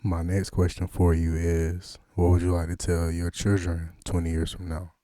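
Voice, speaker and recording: Low mellow guy hosting an interview, loose slangy jokes. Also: completely flat delivery, normal speed, no echo, studio quality. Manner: slangy, joking banter with laughs. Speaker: interviewer and host. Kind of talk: casual interview chat.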